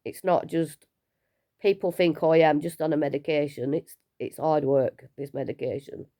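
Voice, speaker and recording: A woman's voice: low voice, steady tone, moderate pace, mellow. Tired chatty woman. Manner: chatty, rambling, self-deprecating with small laughs. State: unwell, low energy. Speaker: vlogger sharing her cancer treatment. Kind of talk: casual personal vlog. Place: at home.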